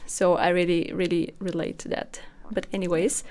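A woman's voice: fairly low pitch, level tone, moderate pace, darkish tone. Young woman hosting a podcast. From Bulgaria. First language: Bulgarian. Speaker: podcast host. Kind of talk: casual conversational interview.

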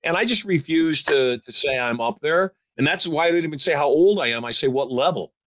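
A man's voice: fairly high pitch, lively intonation, brisk, fairly bright tone, dry recording. A man in his sixties giving upbeat, emphatic advice as a show host. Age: 60s. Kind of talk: motivational call-in advice.

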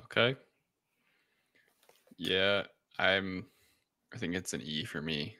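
A man's voice: deep voice, slow pace, bright, forceful tone. A man co-hosting a podcast, talking casually as he reviews a film. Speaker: podcast co-host.

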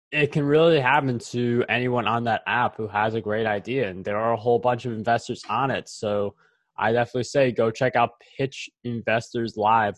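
A male podcast host sums up an interview and thanks his guest, steady and upbeat. His voice is recorded close and dry, with no room sound. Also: mid-range voice, dry recording. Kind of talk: interview hosting and ad read.

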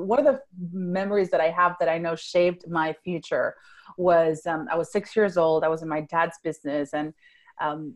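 A woman's voice: lowish voice, darkish tone. Rich: An adult woman from Venezuela, speaking English as a later language. She tells her own story as an interview guest, earnest and reflective, often restarting a thought and checking in with "right?". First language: Spanish.

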